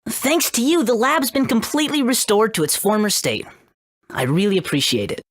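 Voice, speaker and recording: A woman voicing an excitable, friendly little scientist creature in a video game, with a showy, emphatic delivery. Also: on the high side, normal speed. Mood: proud, enthusiastic. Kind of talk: English video game voice acting.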